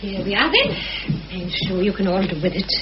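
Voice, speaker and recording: Motherly, chatty housekeeper played by a woman for radio drama; animated intonation, muffled hissy old recording. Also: medium pitch, a little fast, over music, natural voice. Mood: sympathetic. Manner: homely, fussing, chatty. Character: motherly, bustling housekeeper, kindly and talkative. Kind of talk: vintage radio play acting.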